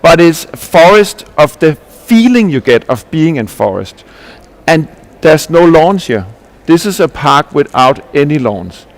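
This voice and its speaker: A man's voice: a little high, big pitch swings, a little slow. Man presenting at a forum. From Denmark. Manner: earnest, explanatory, with frequent restarts and rhetorical questions. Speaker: landscape architect presenting at a panel. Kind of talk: conference presentation.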